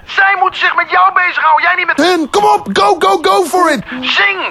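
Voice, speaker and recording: A man playing a pushy, hyperactive positivity guru who exhorts loudly and drops English slogans into his Dutch in an absurd comedy sketch. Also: very high-pitched, fairly even intonation, moderate pace, vocal fry, a crowd in the background. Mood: manic urging.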